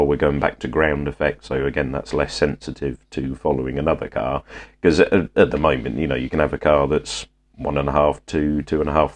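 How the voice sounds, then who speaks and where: Very deep-voiced man with a cold. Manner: expansive, enthusiastic explaining. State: has a cold, congested. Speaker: podcast co-host. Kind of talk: casual motorsport chat. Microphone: video call.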